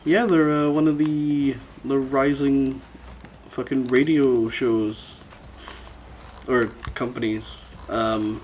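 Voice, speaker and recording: A man's voice: medium pitch, level tone, takes it slowly, a little mellow, slight background noise, rough recording. A man hosting a casual podcast chat, talking in a sweary, teasing, bantering way. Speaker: podcast host.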